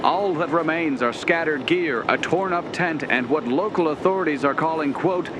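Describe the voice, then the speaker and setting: A man's voice: fairly high pitch, normal speed, lightly acted. Man playing a showy field reporter, noisy ambience. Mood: breezy, showy. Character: breezy, folksy male field news reporter. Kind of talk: parody live news report. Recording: noisy outdoor-style ambience.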